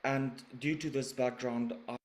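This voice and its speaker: A man from South Africa, a radiation oncologist, reading a prepared webinar presentation in a measured, formal way. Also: mid-range voice, fairly even intonation. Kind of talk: scripted presentation. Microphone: webinar recording.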